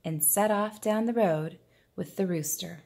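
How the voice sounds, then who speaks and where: A woman's voice: mid-range voice, unhurried, gravelly, darkish tone. Woman reading a children's picture book aloud. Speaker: preschool teacher reading aloud.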